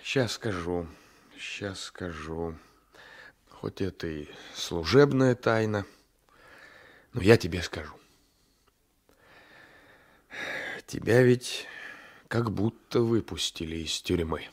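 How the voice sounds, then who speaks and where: A man's voice: deep voice, animated, slow pace, pauses often, exaggerated voice. An actor in a 1980s radio play as a domineering, sly gendarme, patronising and insinuating, often repeating his phrases. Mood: coaxing, confiding. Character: domineering, sly gendarme coaxing a weaker man. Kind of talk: Soviet-era 1980s radio play acting.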